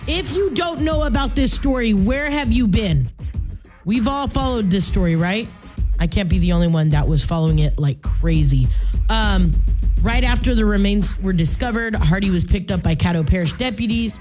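A woman's voice: medium pitch, lively intonation, normal speed, darkish tone, soft background music. A woman hosting a morning radio show in a playful, informal, chatty way, a native Spanish speaker talking in English. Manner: playful, informal, conversational asides and slang.

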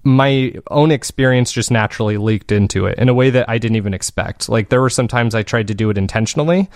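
A man's voice: mid-range voice, fast, no room sound, studio quality. A man speaking as an interview guest, explaining his creative work in an earnest, reflective, conversational way. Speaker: guest, fiction podcast creator.

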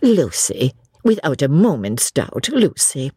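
A woman's voice: a bass voice, very animated, slow, a little mellow, husky, no room sound, full character voice. A woman voicing a prim, fussy, self-sacrificing older English spinster in an audiobook, with a fretful, politely martyred manner. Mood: martyred fussing. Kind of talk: audiobook character voice.

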